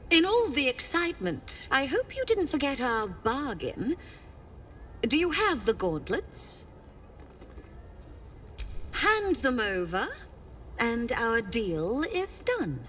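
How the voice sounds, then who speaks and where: An actress voicing a sly, silky devil merchant in a video game, with a teasing, knowing manner. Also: female voice, high voice, big pitch swings, takes it slowly, long pauses, fairly bright tone, a little hiss or hum, heavily acted. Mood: sly, amused. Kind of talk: dramatic video game dialogue.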